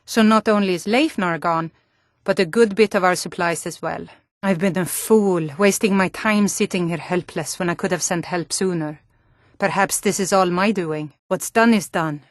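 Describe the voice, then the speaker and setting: A woman's voice: on the low side, normal speed, dry recording, studio quality, close to an everyday voice. A woman voicing a proud, blunt adult Nordic townswoman in a fantasy video game, with earnest, dramatic delivery. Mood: regretful. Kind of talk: scripted fantasy game dialogue.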